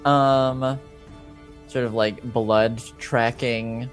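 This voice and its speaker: A man acting as game master, improvising narration and explaining rules in a halting, think-aloud way. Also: medium pitch, fairly even intonation, faint music behind.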